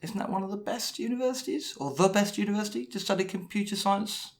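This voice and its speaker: Male podcast host asking questions. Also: high-pitched. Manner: brisk, scripted intro then questions. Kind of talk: podcast interview.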